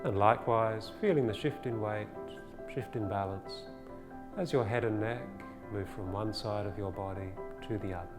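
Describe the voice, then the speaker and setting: A man with a fairly low voice leads a guided meditation. He speaks in a soothing, unhurried way and leaves pauses between instructions. His voice carries a long reverb, and faint music plays behind it. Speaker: meditation teacher. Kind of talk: guided body scan meditation.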